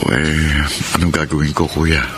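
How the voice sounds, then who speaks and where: Patient Filipino radio drama husband, deep voice, hesitant, wistful, noisy recording. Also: male voice, level tone, measured pace. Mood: wistful, resigned. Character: patient, wistful young husband longing for a child.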